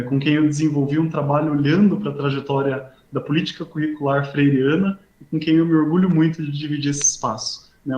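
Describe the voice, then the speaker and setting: Higher-voiced Brazilian man hosting, echoey room. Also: a little mellow. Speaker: host, university professor introducing an event. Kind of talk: semi-formal event introduction. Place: indoors, online video call. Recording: roomy, echoing voice on online stream.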